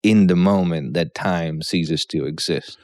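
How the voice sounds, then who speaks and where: Polish-born man in his thirties, low and smooth, dry close sound, casual rambling storyteller who keeps asking "yeah?". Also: unhurried, darkish tone, no room sound. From Poland. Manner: rambling, frequent "yeah?" check-ins. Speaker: guest comedian.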